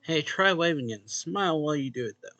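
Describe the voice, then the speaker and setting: A man's voice: fairly high pitch, lively intonation, fast talker, sharp tone, no echo, highs cut off. A man casually reading a visual novel's dialogue and first-person narration aloud as a let's play host. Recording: game music behind the voice. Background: game music.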